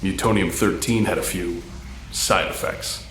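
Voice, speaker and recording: A man voicing a principled, pleading male mutant in an animated production. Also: low voice, measured pace, echoey room, slight background noise. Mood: earnest, firm. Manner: earnest, emphatic.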